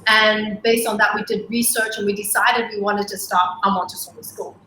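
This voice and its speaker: A woman's voice: mid-range voice, fairly even intonation, moderate pace, roomy sound, slight background noise, poor audio. A woman born and raised in Hong Kong, whose first language is Cantonese, talking fluently with frequent restarts as a school co-founder on a video call. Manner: warm, fluent with many restarts and ums. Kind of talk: interview on a livestream.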